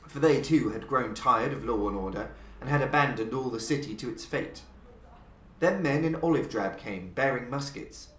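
Man, theatrical horror narration, mid-pitched voice, roomy echo. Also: fairly bright tone. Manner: measured, theatrical storytelling with slow emphatic passages. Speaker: podcast host and reader. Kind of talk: dramatic scripted narration of a horror story.

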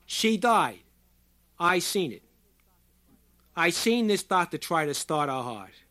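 Man acting a loud, insistent squat working-class man. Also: on the high side, very animated. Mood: angry, accusing. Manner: shouting, slow emphatic declarations in folksy ungrammatical speech. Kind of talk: audiobook character voice.

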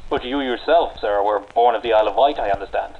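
A man voicing an earnest English historian who speaks formally and hesitantly while trying to placate. He talks quickly in an English accent. The sound is dull and lo-fi. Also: on the low side, a little hiss or hum, lightly acted. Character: polite, nervous English social historian. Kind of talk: radio drama acting.

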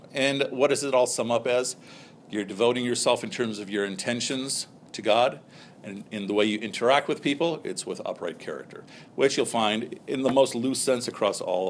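Man in his forties, low-pitched, lecturing, faint room noise. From Pakistan, raised near Chicago. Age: 40s. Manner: explanatory, checks in with "okay?" and "right?". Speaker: speaker on Islam. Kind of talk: lecture to undergraduates.

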